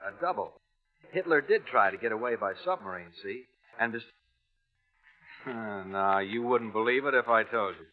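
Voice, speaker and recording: An actor playing a plain-spoken American Navy veteran, colloquial and confiding, spinning a yarn in a 1940s radio drama. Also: male voice, on the low side, expressive, moderate pace, pauses often, lightly acted. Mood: cagey, confiding. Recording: tinny vintage radio recording, music behind.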